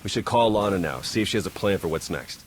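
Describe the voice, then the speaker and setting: A low-pitched, down-to-earth game-drama agent. He is wry and protective, talking in casual clipped phrases, with faint noise behind him. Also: lively intonation, fast. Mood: wry relief. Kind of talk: video game cutscene acting.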